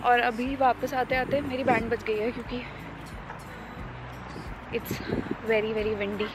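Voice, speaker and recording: A woman's voice: a little high, fairly even intonation, takes it slowly, over music. A young woman vlogger chatting casually to a handheld camera while walking outdoors on a windy street. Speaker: lifestyle vlogger. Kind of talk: casual vlog chat to camera. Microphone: handheld camera at arm's length.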